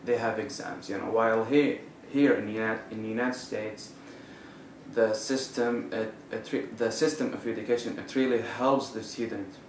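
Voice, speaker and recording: Young man from Saudi Arabia, halting with fillers, lowish voice, echoey room, distant mic, faint hiss. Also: steady tone. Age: young adult. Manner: halting, many fillers and restarts. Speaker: international student. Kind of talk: interview answers.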